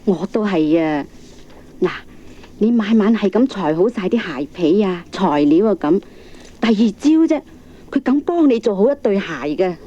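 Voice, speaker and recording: A woman's voice: mid-range voice, lively intonation, moderate pace, mellow, close to an everyday voice. A woman with a Hong Kong Cantonese accent playing a shoemaker's kindly, practical older wife in a children's radio drama. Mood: curious, pleased. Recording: muffled old cassette, faint music.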